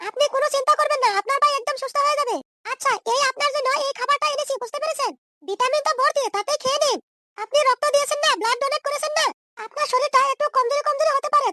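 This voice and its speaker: Casting: reassuring, chatty young cartoon nurse, piercingly high and bright squeaky delivery. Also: female voice, no room sound, studio quality. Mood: reassuring, coaxing. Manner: fussy, reassuring, insistent. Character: caring, chatty young female hospital nurse. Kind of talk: comedy cartoon dub.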